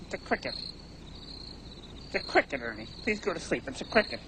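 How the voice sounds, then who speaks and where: A man's voice: very high voice, big pitch swings, measured pace, background noise, full character voice. A man voicing a long-suffering, grumpy puppet camper in a comedy sketch, exasperated and plaintive. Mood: weary, annoyed.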